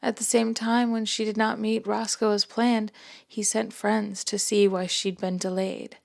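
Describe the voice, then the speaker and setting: A woman reading her own first-person story aloud in a gentle, soft-spoken way. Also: medium pitch, little pitch movement, measured pace, gravelly. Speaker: author and narrator.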